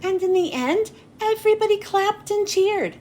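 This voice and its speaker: A woman narrating a children's storybook expressively, with dramatic pauses and drawn-out phrase endings. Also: extremely high pitch, lively intonation, a little mellow. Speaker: children's book read-aloud host. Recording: noticeable background noise.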